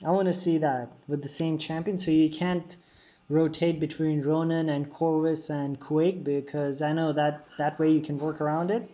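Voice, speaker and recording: A male streamer talks casually while playing, answering viewers and filling pauses with "uh" and "um." His voice sits a little high for a man. The recording sounds dull and lo-fi. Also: level tone, dark tone. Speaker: game streamer.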